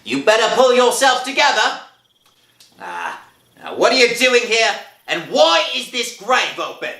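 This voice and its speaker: A man playing a gruff, suspicious, long-winded police constable in a 1960s radio drama. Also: extremely high pitch, wildly swooping pitch, a little slow, sharp tone, exaggerated voice. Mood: stern. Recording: old radio recording, echoey.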